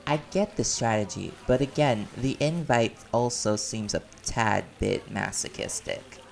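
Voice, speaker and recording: Man acting playful caring guy, low pitch, creaky edge, chatty, theatrical teasing, audio drama. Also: unhurried. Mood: casual, doubtful. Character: silly, playful, caring man at a community party. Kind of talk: audio roleplay acting, casual conversation.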